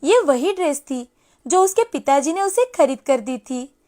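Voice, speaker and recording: High-voiced woman telling a story. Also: lively intonation, a little slow, dark tone. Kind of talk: scripted storybook narration. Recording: music playing behind the voice. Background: background music.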